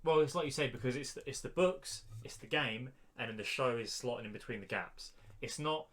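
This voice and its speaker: Blunt guy on a podcast arguing his opinions casually, kinda dismissive, average pitch. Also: brisk, fairly bright tone. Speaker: podcast co-host.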